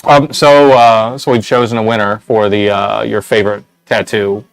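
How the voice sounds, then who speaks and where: A man's voice: on the low side, level tone, normal speed, gravelly, clear, resonant tone, no room sound, poor audio. A young man with a nasal voice, hosting a casual shop livestream and rambling through giveaway details with a lot of "right?".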